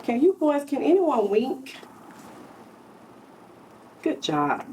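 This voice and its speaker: A woman reads to preschoolers in a high, lively voice, taking her time. She speaks to the children directly and explains words in simple terms, picked up from a little distance. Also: slow, slight background noise. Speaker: preschool teacher. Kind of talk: storybook read-aloud for young children with explanatory asides.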